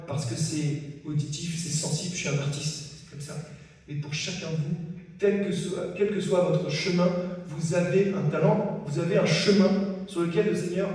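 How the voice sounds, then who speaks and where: A man giving a personal testimony at a conference, telling his story in a lively way and acting out the dialogue. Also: sits high. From French-Mexican family. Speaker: conference speaker. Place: large hall at a conference. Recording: echoey hall from far off.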